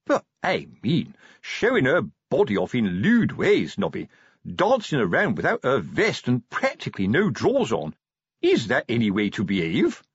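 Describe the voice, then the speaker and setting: A man's voice: mid-range voice, wildly swooping pitch, normal speed, husky, no room sound, highs cut off, pitched above the performer's own voice, heavily acted. A man voicing a pompous, fatherly older police sergeant in an audiobook, hesitant and prudish in his colloquial talk. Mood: scandalised. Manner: hesitant, self-important, colloquial working-class phrasing. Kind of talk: audiobook character dialogue.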